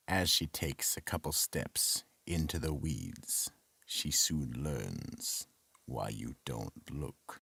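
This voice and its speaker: A man with a husky, gravelly voice, ominously narrating and announcing a scripted horror radio drama. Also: very deep voice, fairly bright tone, dry recording. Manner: slow, ominous.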